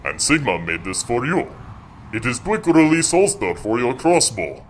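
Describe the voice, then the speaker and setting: An actor playing a terse, formal secret-mission teammate in an audio drama, with clipped wording. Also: male voice, medium pitch, very animated, moderate pace, a little hiss or hum, natural voice. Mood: terse, helpful. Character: terse, formal male gadget-bearing teammate.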